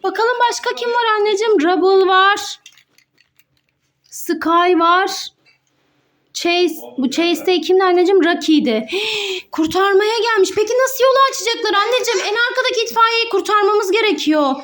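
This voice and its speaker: Woman, very high voice, playful toy-video narration, exclamatory, sing-song, talking to children. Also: moderate pace, a bit bright.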